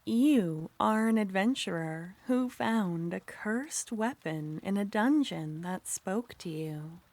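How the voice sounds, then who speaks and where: A woman giving calm, soft-spoken scripted narration to set up an ASMR roleplay. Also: mid-range voice. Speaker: ASMR creator.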